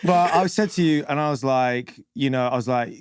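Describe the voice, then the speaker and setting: A man's voice: mid-range voice, a little fast, heavy background noise. A British man co-hosting a podcast, chatting casually in a jokey, rambling way. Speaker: podcast co-host. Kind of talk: casual chat. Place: studio.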